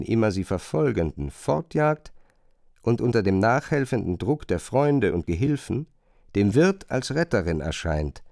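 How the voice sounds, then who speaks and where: Expressive male literary narrator, dry sound. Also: mid-range voice, gravelly, no echo. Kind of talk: literary narration in a radio play.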